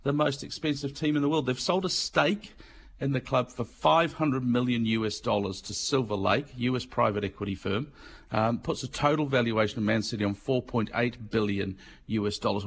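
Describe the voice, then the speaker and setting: A man's voice: mid-range voice, moderate pace, breathy edge. A middle-aged man from England with a Mancunian accent, giving a chatty business and markets segment on the radio and explaining things in plain words. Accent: Mancunian English. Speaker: financial markets commentator.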